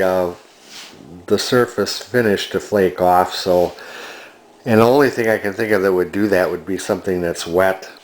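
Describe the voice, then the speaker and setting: Guy w a lowish voice doing a casual show and tell, really unhurried, kinda halting. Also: a little hiss or hum. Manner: hesitant, musing, halting delivery. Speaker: vintage electronics collector presenting a find. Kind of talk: casual unscripted walk-through commentary.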